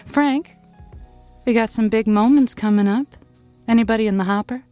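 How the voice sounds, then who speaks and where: Wry, confiding late-night radio hostess played by an actress. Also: female voice, a little high, normal speed, darkish tone, faint music behind, lightly acted. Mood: reassuring. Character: Josie, wry, soothing female late-night radio call-in host. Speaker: radio show host. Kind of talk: scripted radio drama, intimate monologue and chat.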